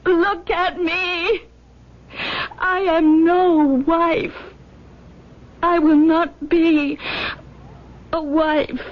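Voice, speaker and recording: An actress playing a stubborn, desperate young runaway bride in a radio melodrama, intense and pleading. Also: female voice, very high-pitched, flat delivery, very slow, pauses often, heavily acted. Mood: desperate, tearful. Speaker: actor in a radio drama. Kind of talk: 1970s radio melodrama acting. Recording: muffled 1970s radio recording.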